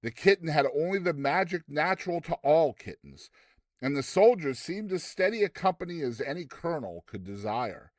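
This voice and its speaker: A man reading a classic story aloud as a theatrical, expressive narrator with deliberate delivery. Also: a little high, no room sound, clean studio sound. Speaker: narrator, reader.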